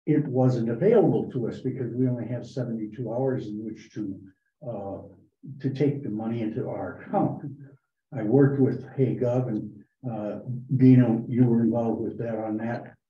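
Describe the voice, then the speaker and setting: A man reporting to a town board meeting, talking in a halting way with many fillers and restarts. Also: medium pitch. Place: town hall meeting room. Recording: echoey, muffled meeting room recording.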